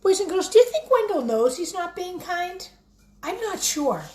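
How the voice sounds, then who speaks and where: A woman teacher reading a picture book aloud expressively, pausing to put questions directly to young listeners. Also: very high-pitched. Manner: expressive, addresses children directly.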